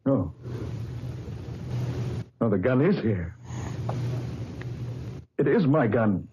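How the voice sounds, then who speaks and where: A man's voice: medium pitch, very animated, very slow, background noise. A man playing a guilt-haunted murderer in an old radio drama, muttering in fear to himself. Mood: despairing. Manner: tormented, fearful muttering. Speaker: Keith Grange, millionaire. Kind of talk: 1940s radio acting. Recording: lo-fi old radio recording with loud music.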